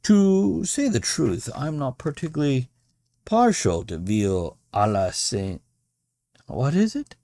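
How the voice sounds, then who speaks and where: A man's voice: medium pitch, sing-song, slow, dark tone, no room sound, clean studio sound, voice pushed up. A man voicing a polite, wary dinner guest in a storybook, hesitant and courteous. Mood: polite unease. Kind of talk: storybook character voice.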